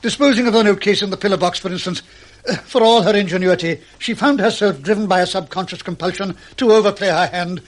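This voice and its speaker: A man's voice: high voice, fast, lightly acted. A man playing a pompous, self-assured doctor detective in an old-fashioned radio mystery, speaking in precise, formal, pedantic phrases. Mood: smug.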